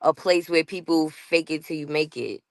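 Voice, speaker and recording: A woman's voice: low voice, moderate pace, dry recording. A woman with a New York accent talking casually as a guest on a panel show, laughing often and restarting her sentences. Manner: chatty, laughs often, self-interrupting.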